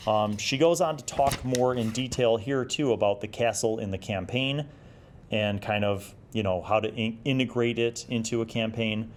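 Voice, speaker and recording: A man's voice: medium pitch, moderate pace, slight background noise. A man hosting a retro gaming review, talking casually and thinking aloud as he goes. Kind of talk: casual rambling review commentary.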